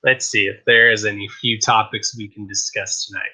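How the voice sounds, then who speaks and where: A man hosting a casual live stream show, chatting in a hyped, rambling way, his voice a little raspy. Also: on the low side, level tone, normal speed, sharp tone, low-quality recording. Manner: hyped, rambling, repeats "I kid you not". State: slightly raspy voice.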